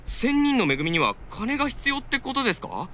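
A man voicing a pushy, excitable young pilgrim in a game, theatrical and brash. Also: extremely high pitch, moderate pace, slight background noise, full character voice. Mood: indignant, suspicious.

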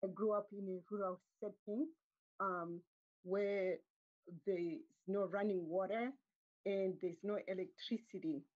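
A middle-aged woman from Zimbabwe, calmly answering interview questions on a video call from a room at home. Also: medium pitch, dull audio. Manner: calm, measured, with frequent um pauses. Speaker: guest artist. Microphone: laptop webcam on a video call.

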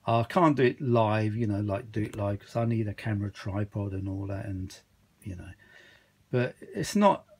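A tired man with a husky voice, chatting casually and a little exasperatedly in a rambling hobby repair vlog, recorded indoors on a handheld camera. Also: fairly low pitch, normal speed, darkish tone, a little hiss or hum. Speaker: vintage audio hobbyist vlogger. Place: indoors, small home workshop. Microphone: handheld phone or camera behind the lens.

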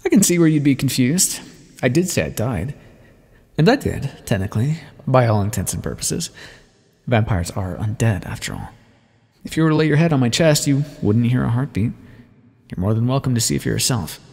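A man acts out a sardonic male vampire for an audio roleplay. He is bitter, wordy and self-mocking, with a theatrical swing in pitch. A gravelly fry roughens his words, and a long reverb trails behind them. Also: big pitch swings, moderate pace, darkish tone, full character voice. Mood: teasing, playful. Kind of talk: ASMR roleplay monologue, dramatic storytelling.

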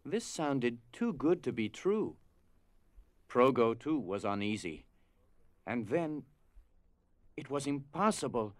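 A man's voice: medium pitch, expressive, takes it slowly, long pauses, no echo. A man narrating a scripted story for an audio drama.

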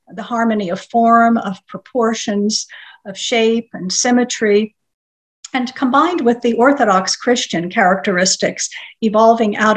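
An older woman whose first language is Russian, giving a measured online lecture from a home study with bookshelves, pausing often on fillers. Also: on the high side, low-quality recording. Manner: measured, with frequent filler pauses. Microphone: webcam video call.

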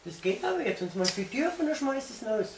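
A woman's voice: fairly high pitch, sing-song, fast. A middle-aged woman acting as a strict salon boss, giving blunt orders and scolding in improvised role-play. She is picked up from a distance in an echoey room with some noise. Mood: stern, impatient. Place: small hair salon, indoors. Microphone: camera a few metres away. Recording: echoey, noisy room, distant camera mic.

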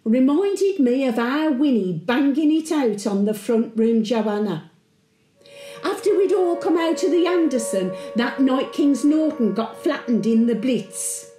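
An actress playing a chatty, sentimental Birmingham widow of over a hundred in a homely, confiding monologue. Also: female voice, sits high, animated, a little slow, mellow, echoey room, faint music behind. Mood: fond, chatty. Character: 101-year-old widowed Brummie great-grandmother, chatty, sentimental, plain-spoken. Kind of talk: dramatic monologue, intimate storytelling.

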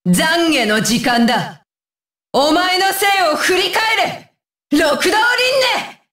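A woman's voice: very high-pitched, expressive, slow pace, a bit bright, dry recording, shouting, studio quality, heavily acted. A woman playing a fierce, disdainful young female shinobi with a curt, commanding manner, in anime video game battle lines. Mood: fierce, threatening.

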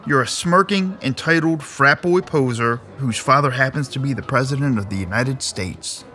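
Man playing a sneering, sharp-voiced tormentor. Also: medium pitch, moderate pace, soft background music, natural voice. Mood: mocking. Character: mocking, scornful male tormentor babysitting a spoiled young man. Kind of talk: audio drama acting.

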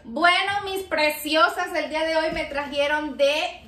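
A woman's voice: sits high, a little slow, pushed and bright. A woman with a jokey manner, chatting casually as a guest interviewer on a home vlog. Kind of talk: casual playful chat. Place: home kitchen and living room. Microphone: camera a few meters away. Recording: echoey, distant, faint noise.

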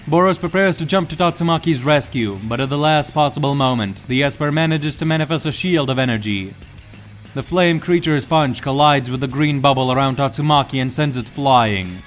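A man's voice: medium pitch, brisk, faint music behind. A man reading scripted, dramatic story narration as the narrator.

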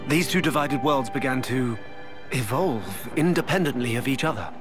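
A male actor as a grave, learned warrior in a fantasy game. His mid-pitched voice is formal and measured, with faint music underneath. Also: lively intonation, lightly acted. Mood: solemn. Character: solemn, measured male sage-warrior explaining lost worlds. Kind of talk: dramatic video game cutscene exposition.

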